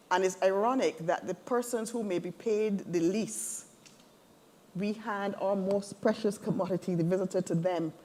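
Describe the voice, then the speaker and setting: A woman's voice: mid-range voice, a little mellow. A woman speaking earnestly on a webinar panel, building her points in emphatic lists.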